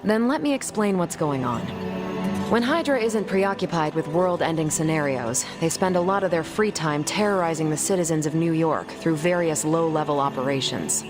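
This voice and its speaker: A businesslike commander giving a game mission briefing. Her voice is slightly low and composed, explanatory in delivery. Loud music runs underneath. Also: expressive, normal speed. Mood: calm, businesslike. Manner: matter-of-fact, explanatory. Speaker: video game voice actor.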